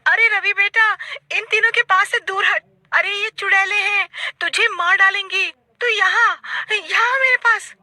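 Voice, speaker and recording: A woman voicing a frantic, protective mother in a cartoon story, shrill, urgent and melodramatic. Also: fairly even intonation, a little slow, pushed and bright, no echo, exaggerated voice. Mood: panicked.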